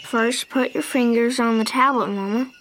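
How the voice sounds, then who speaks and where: A woman's voice: fairly high pitch, fairly even intonation, moderate pace, a bit bright, slight background noise, natural voice, low-quality recording. A performer with a woman's voice playing an eager, nervous, obedient young boy with a Southern US accent, in old-time radio drama style.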